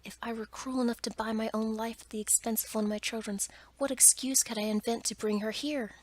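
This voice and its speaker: A woman voicing a frightened, humble merchant father from a storybook in a breathy whisper, pleading politely and formally. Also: mid-range voice, little pitch movement, moderate pace, lightly acted. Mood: despairing.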